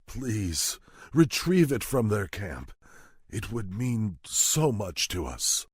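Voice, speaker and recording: A frightened, humble farmer from a fantasy game. His husky voice swings widely in pitch, and he speaks at a slow pace. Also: sing-song, no room sound, studio quality, exaggerated voice. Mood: pleading. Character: frightened humble farmer begging rescue from bandits. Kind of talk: video game voice acting.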